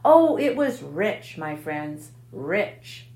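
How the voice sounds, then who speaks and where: A bloated, gloating male rat, put on by a woman drags out each word in a husky snarl. His slow, greedy taunts hang in a roomy echo. It is the sound of a storybook scoundrel. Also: fairly low pitch, big pitch swings, a little mellow, full character voice. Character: gluttonous, sneering male rat, bloated from feasting. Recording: echoey room.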